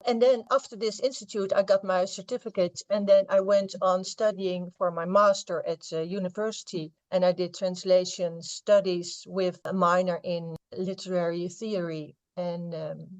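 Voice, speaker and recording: A woman in her fifties from the Netherlands with a Dutch accent, a literary translator answering interview questions thoughtfully, with frequent pauses and fillers. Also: on the low side, fairly even intonation.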